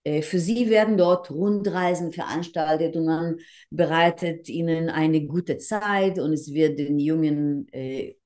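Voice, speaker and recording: An older woman with a Hebrew background speaks into a laptop on a video call from home. Her voice sits low and sounds soft and mellow. She explains her points carefully, pausing and restarting often. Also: fairly even intonation. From Israel. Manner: measured, with frequent hesitations and restarts. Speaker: interview guest. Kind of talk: earnest explanatory interview answers. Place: living room at home.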